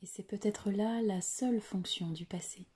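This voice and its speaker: A woman leading a guided yoga nidra meditation in a soft, breathy voice that often nears a whisper, soothing and deliberate, with long pauses. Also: mid-range voice.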